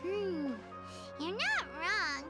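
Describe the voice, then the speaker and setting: A woman voicing a tiny, excitable, blunt fairy girl with a squeaky childlike voice in a video game. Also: extremely slow, over loud music, full character voice. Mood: frank, reassuring. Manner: exclamatory, bubbly.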